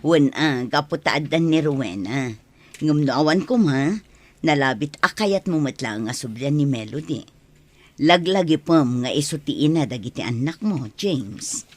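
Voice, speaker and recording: A man's voice: fairly high pitch, lively intonation, unhurried. A man with an Ilocano Filipino accent playing a frank, advising confidant in a radio drama. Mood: gently advising. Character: frank confidant giving sober advice to the couple. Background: music.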